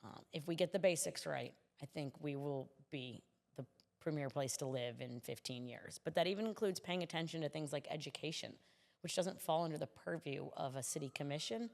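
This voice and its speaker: A woman's voice: low voice, fairly quick, creaky, a little mellow, in a large hall. A woman speaking as a city commissioner in a conversational interview, explaining things earnestly.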